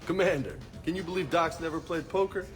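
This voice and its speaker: An actor playing a brash, joking soldier who talks in a casual way. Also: male voice, on the high side, fairly quick, gravelly. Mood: amused. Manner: casual, jokey. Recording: music playing behind the voice.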